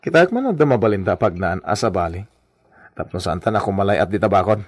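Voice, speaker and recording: A man playing a boisterous, boastful, bossy male character in an Ilocano radio comedy, with an Ilocano accent and a loud, exclaiming delivery. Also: deep voice, animated, fast, a little hiss or hum. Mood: cocky, scheming.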